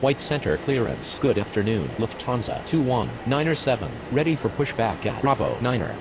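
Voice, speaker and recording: A man's voice: medium pitch, big pitch swings, normal speed. A man playing a procedural airline radio voice in an air traffic game, with scripted calls. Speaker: pilot and controller radio voice.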